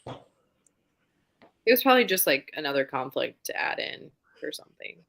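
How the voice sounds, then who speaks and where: A woman's voice: low voice, big pitch swings, unhurried, gravelly, a bit bright. A woman talking informally and conversationally as a host of a book readalong live show. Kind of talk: casual chat.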